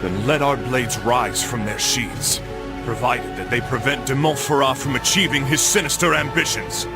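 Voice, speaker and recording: Man playing a grim crusader knight over loud music. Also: normal speed, close to an everyday voice. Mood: grave, measured. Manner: solemn, formal archaic phrasing. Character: grim, resolute young crusader knight, fiercely righteous. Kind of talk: dramatic video game cutscene acting. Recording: loud music and rumble behind.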